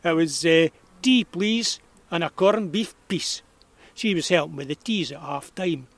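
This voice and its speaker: A man's voice: fairly high pitch, unhurried, no room sound. An actor playing a kindly, nostalgic old man of about eighty with a broad rural Perthshire Scottish accent, reminiscing in a folksy way in an audio drama. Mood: amused, reminiscing. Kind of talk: naturalistic audio drama storytelling.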